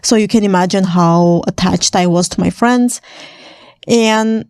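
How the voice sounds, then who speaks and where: A woman's voice: mid-range voice, a little slow, a little mellow, clear, resonant tone, no room sound, studio quality. A young woman from Armenia, native in Armenian, chatting casually on camera as a vlogger, laughing often and filling her talk with "like" and "you know".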